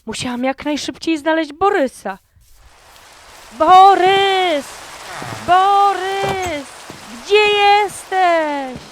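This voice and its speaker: Woman, high-pitched, unhurried, dramatic horror storyteller, frightened lonely heroine, noticeable rain-like noise. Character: frightened lonely young woman fleeing zombies. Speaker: narrator and voice of the lead character. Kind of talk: dramatized first-person horror storytelling for a Minecraft animation.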